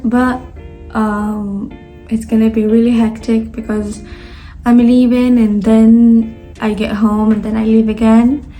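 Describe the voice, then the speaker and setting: A young woman from Spain talks her way through a casual sit-down vlog, answering viewer questions and often stopping to correct herself. Her voice is mellow and sits a little high, with a flat, even melody and an unhurried pace. Faint music plays underneath. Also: low-quality recording. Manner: chatty, rambling with many fillers and self-corrections. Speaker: vlogger. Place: indoors at her sister's home.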